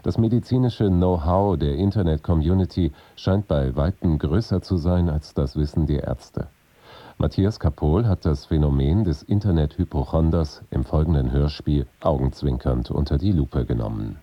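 A man's voice: a bass voice, moderate pace, no echo. A man reading a scripted introduction as a radio announcer. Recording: slightly dull, dry studio sound.

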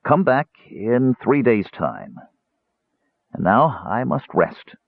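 Man playing male mage, storybook fantasy, cool, cunning, soft-spoken, raspy, commanding, thin radio-like band. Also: mid-range voice, huge pitch swings, moderate pace, no room sound, pitched above the performer's own voice, full character voice. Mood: soothing, persuasive. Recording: narrow tinny radio-like band.

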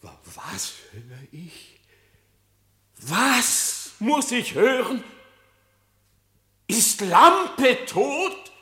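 An actor playing a sly, boastful fox in a classical verse radio drama, theatrical, wheedling and self-assured. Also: male voice, high voice, pushed and bright, full character voice. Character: sly, boastful fox, smooth-talking trickster.

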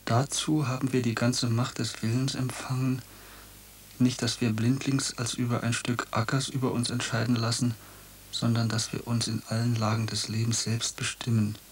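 A man's voice: mid-range voice, level tone, moderate pace, a little hiss or hum, natural voice, low-quality recording. A man playing a fervent young idealist. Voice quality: impassioned, declamatory. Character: young male student assassin, fervent idealist. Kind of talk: radio drama acting.

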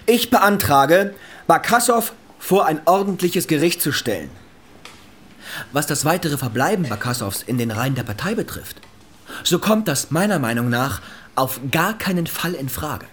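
Self-righteous officious prosecutor, radio drama, declaiming, voice on the high side w big swings. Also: male voice, expressive, normal speed, fairly bright tone, slight background noise. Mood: officious, accusing. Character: cold, self-righteous male state prosecutor demanding harsh punishment. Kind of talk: German radio drama acting.

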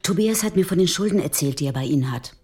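An actress playing a controlled, tough police detective around forty in a radio drama, cool, pointed and dry. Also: female voice, fairly low pitch, animated, fast, a little mellow, husky. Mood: dry, sardonic. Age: 40s. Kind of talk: naturalistic German radio drama acting.

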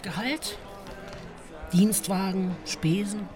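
An actress playing a sceptical, wry colleague of an investigator in a radio drama, speaking in a cynical, probing way. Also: female voice, medium pitch, very animated, drawn-out words, music in the background. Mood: sarcastic. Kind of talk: radio drama, conversational dialogue.

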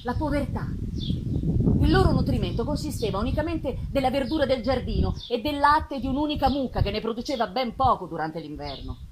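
A woman giving an expressive, dramatic literary reading with pauses and drawn-out phrases, performed live on stage. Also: on the high side, background noise. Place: live theatre festival performance. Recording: live music behind the voice. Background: live musical accompaniment.

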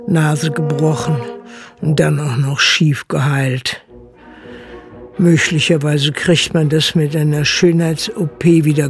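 A man's voice: fairly high pitch, creaky. A man narrating a radio drama with a dry, wry storytelling manner. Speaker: narrator and village baker.

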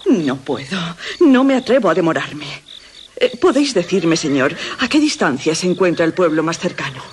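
A woman's voice: lowish voice, big pitch swings, normal speed, a little hiss or hum. An actress playing a proud, middle-aged noblewoman in a Castilian Spanish radio drama, grand, formal and theatrical. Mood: grave, agitated. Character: proud, imperious, mysterious noblewoman, a mother in haste.

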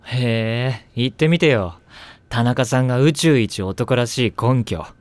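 A man's voice: medium pitch, measured pace, dry recording, natural voice. A man playing a coolly sarcastic teenage boy in an anime drama CD, mocking and dry. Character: tall sarcastic teen boy, coolly dismissive.

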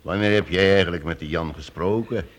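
An actor playing a learned travelling minstrel master in a radio play, courteous and dignified but commanding. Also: male voice, deep voice, brisk, close to an everyday voice. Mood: firm.

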